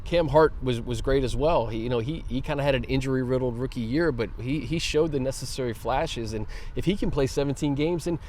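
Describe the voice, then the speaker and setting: A man's voice: medium pitch, fairly quick, hardly any pauses, a little mellow, slight background noise. An American man co-hosting a sports show in a casual, conversational style.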